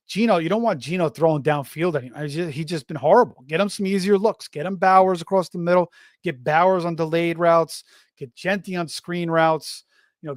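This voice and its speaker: Man, middle-aged, slightly hoarse, rambling casual sports talk, fillers and restarts, dry close recording. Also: on the high side, dry recording. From Florida. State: slightly hoarse, voice going raspy. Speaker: fantasy football show host.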